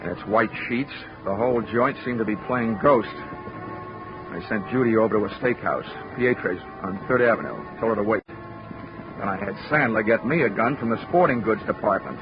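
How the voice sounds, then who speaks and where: A dull vintage radio recording with music behind it carries a man acting a hard-boiled American detective. He is dry and wisecracking, narrating and talking in a deadpan 1940s manner. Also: fairly low pitch, moderate pace, lightly acted. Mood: wry narration. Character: wry, hard-boiled male insurance investigator. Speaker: lead actor and first-person narrator.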